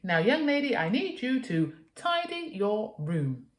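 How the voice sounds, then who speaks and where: A woman's voice: medium pitch, big pitch swings, a little slow, voice pushed up. A prim, house-proud storybook mother, put on by a woman reader, raising her voice in exasperated despair. She wails and bellows with fussy indignation. The sound rings in an echoey room. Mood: wailing, indignant.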